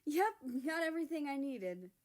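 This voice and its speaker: A woman voicing a small, gentle healer from a storybook, speaking in a soft and friendly manner. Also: sits high, expressive, normal speed, pitched above the performer's own voice. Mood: cheerful.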